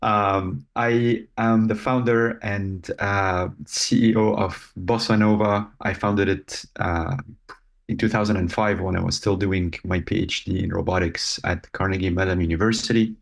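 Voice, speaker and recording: Gravelly low-voiced man. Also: fairly even intonation. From Lebanon. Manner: measured, thoughtful, with frequent filler pauses. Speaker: guest, robotics company founder. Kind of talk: casual interview talk.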